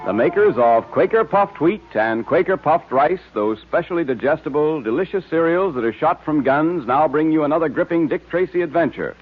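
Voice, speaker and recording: A man works as announcer on an old-time radio serial. His delivery is emphatic and salesmanlike, swinging widely in pitch with some huskiness. The recording is tinny and narrow. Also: animated, moderate pace, hardly any pauses. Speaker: announcer and narrator. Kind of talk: 1940s radio sponsor pitch and serial narration.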